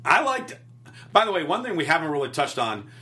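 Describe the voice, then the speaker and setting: A man with a bright, forceful tone walks through wrestling matches step by step. He talks in a casual, chatty way, listing each move in short bursts. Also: on the high side, big pitch swings. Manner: rapid play-by-play recounting. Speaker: wrestling recap co-host. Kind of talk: casual recap chat.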